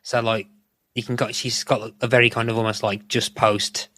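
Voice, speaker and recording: A man's voice: medium pitch, little pitch movement, normal speed, bright, forceful tone, dry recording. A man chatting casually as a podcast guest, explaining things in a rambling way. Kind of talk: casual chat.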